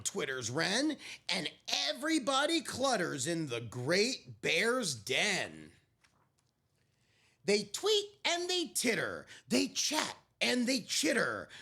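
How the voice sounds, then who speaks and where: A woman reading a picture book aloud to young children, expressively, with drawn-out dramatic phrasing and friendly asides. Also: mid-range voice, vocal fry, fairly bright tone, slightly rough. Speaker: children's storyteller.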